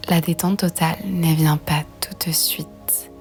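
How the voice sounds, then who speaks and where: Female meditation guide, hushed and breathy, very even low-set delivery, gentle and soothing. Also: a little slow, soft background music. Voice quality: soft, breathy, half-whispered. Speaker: yoga nidra guide.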